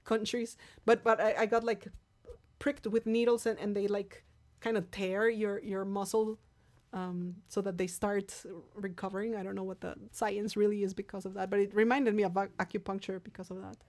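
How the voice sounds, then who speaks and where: A woman's voice: mid-range voice, little pitch movement, moderate pace, darkish tone. Mexican lady chatting on her live stream, spanish is her first language, lots of restarts and repeats. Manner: chatty and candid, many restarts and repeated words. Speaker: singer hosting a live stream. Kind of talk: casual live-stream chat with viewers.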